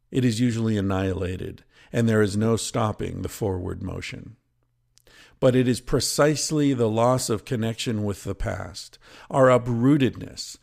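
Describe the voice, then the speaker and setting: Creaky-voiced man reading with measured delivery. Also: mid-range voice, a little mellow. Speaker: podcast host and reader. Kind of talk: reading a book excerpt aloud with casual asides.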